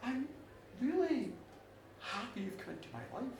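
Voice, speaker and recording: A woman's voice: a little high, sing-song, moderate pace, vocal fry, a little mellow. A woman voicing a smitten, astonished widowed farmer in an oral tale, hesitant and stammering. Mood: tender, tentative. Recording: echoey room, distant mic, faint noise.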